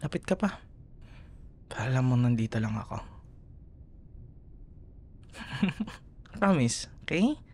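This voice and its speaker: A man with a Filipino accent playing a gentle, protective young boyfriend in an intimate ASMR roleplay, soothing and murmuring tenderly. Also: medium pitch, sing-song, slow, long pauses, slight background noise. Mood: tender, sleepy comfort.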